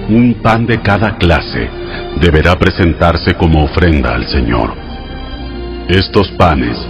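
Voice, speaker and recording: Male narrator, low register, formal and measured scripture reading, clear music bed underneath. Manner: measured, formal, instructive delivery. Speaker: audio Bible narrator. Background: background music.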